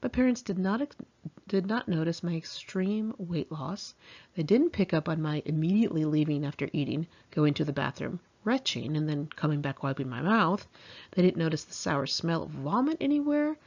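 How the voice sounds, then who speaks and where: A woman's voice: sits low, expressive, normal speed, a little mellow, highs cut off. An American woman reading her own memoir in a conversational, candid and wry, self-mocking way. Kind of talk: scripted audiobook narration, conversational.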